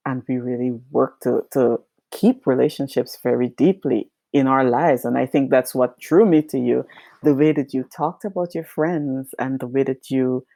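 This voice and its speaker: A woman from Guyana, a guest in a casual interview who affirms warmly and often says yes. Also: very deep voice, steady tone, moderate pace, dry recording.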